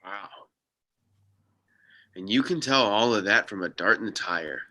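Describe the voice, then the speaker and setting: A man's voice: on the low side, expressive, unhurried, sharp tone. A man playing a skeptical, practical young sidekick in a script read, with a wry, casual way of talking. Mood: sarcastic, doubtful. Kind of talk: table read of a TV pilot script.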